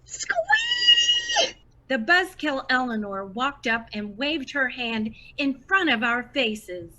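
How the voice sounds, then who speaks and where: A woman's voice: high-pitched, huge pitch swings, takes it slowly, bright, forceful tone, shouting, exaggerated voice, low-quality recording. A woman playing a giddy, starstruck, gushing female superfan in a scripted audio drama. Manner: gushing, squealing.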